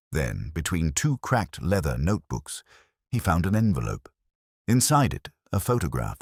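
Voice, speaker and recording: A middle-aged man reading a scripted first-person detective story in a measured, reflective, literary way. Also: sits low, no echo, studio quality. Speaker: audiobook-style narrator of a detective story.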